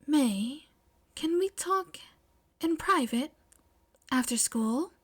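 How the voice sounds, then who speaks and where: A shy teenage girl, acted by a woman, proper and earnest, in a fan-made audio story. Her voice is high and soft, breathy at times, and she speaks in a hesitant, flustered way. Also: animated, drawn-out words, exaggerated voice. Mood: tentative. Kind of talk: fan fiction audio drama.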